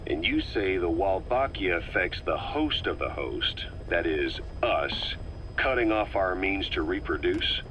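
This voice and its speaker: A stern military intelligence officer for a game drama. His voice is very deep, and he speaks in terse, probing, matter-of-fact phrases. Clear background noise sits behind him. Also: big pitch swings, unhurried, bright, forceful tone, exaggerated voice, poor audio. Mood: pondering. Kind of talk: dramatic game voice acting, interrogating dialogue.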